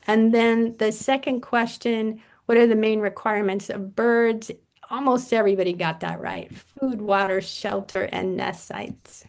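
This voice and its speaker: A woman's voice: a little high, fairly even intonation, unhurried. A woman presenter on a video call, casually going over poll answers with some hesitation. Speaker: webinar presenter. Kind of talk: reviewing poll results. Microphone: computer mic on a video call.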